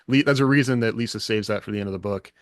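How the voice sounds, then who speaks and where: A man with a lowish voice talks casually as a podcast co-host. His voice is recorded dry, with no room sound, in an informal panel. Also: very fast, no room sound.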